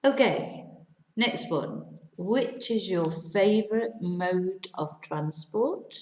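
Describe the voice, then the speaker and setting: A middle-aged woman, teaching to Spanish speakers, repeating each question slowly and clearly. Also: medium pitch, lively intonation, reverberant. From England. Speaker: English teacher. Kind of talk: language lesson for Spanish speakers.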